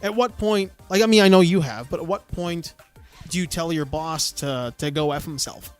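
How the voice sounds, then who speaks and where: A man with a notably high voice tells an animated story on a podcast. His talk is loose and casual, full of fillers and restarts. Faint music sits under the voice. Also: normal speed. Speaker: podcast co-host.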